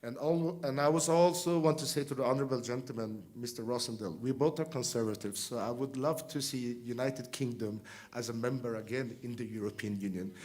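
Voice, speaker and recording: A man in his thirties, a native Armenian speaker, giving an earnest, plain-spoken speech as a member of parliament on a conference panel. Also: mid-range voice. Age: 30s. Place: conference hall.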